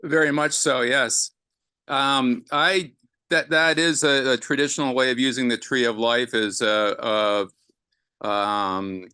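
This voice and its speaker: Male panelist, bright resonant tone, relaxed and unhurried. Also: on the low side, clean tone, no room sound. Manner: relaxed, thinks aloud with fillers. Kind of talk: casual roundtable discussion.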